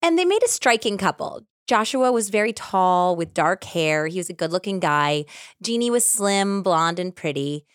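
A woman's voice: lowish voice, big pitch swings, normal speed, smooth, dry recording, clean studio sound. A woman co-hosting a podcast, mixing casual chat with true-crime storytelling in a playful, teasing way. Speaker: podcast co-host.

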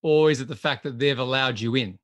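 A man's voice: medium pitch, brisk, highs cut off. A man hosting an interview in a casual, conversational way, often repeating words as he starts a sentence. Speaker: podcast host.

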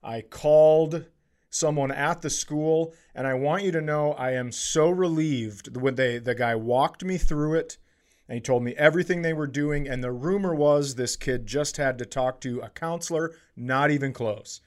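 A man's voice: a little high, moderate pace, darkish tone. A man with an American accent chatting casually and comedically as a podcast co-host, bantering and swearing freely. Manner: profane, bantering.

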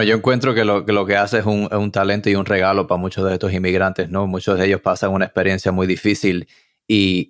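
A man's voice: low-pitched, fairly bright tone, dry recording, studio quality. A man co-hosting an interview, speaking earnestly and admiringly to his guest. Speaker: podcast co-host. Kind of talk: interview talk.

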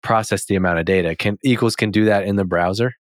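A man with a low voice hosts an interview podcast. The sound is dry, and he puts his questions and comments casually, as in an ordinary conversation. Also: fast, no echo.

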